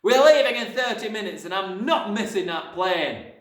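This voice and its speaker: A man voicing a gruff, impatient, bullying father from a storybook, barking curt orders. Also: sits high, animated, takes it slowly, bright, forceful tone, pitched above the performer's own voice, exaggerated voice. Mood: angry, shouting. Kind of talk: storybook character voice. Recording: roomy, distant microphone.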